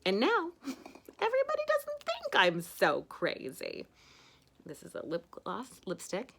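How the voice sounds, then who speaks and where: A woman's voice: fairly high pitch, huge pitch swings, takes it slowly, creaky, fairly bright tone. A woman hosting a casual at-home makeup tutorial, chatty and rambling with many fillers.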